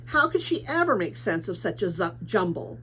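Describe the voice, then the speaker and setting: Animated woman reading a story aloud. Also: mid-range voice, normal speed, a little hiss or hum, poor audio. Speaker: storybook reader. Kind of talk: expressive read-aloud narration of a children's novel.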